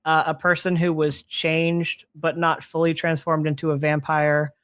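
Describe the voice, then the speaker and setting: A podcast host in their late twenties explaining fantasy lore in casual chat, halting and chuckly but enthusiastic. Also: mid-range voice, little pitch movement, a bit bright, no echo. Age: late 20s.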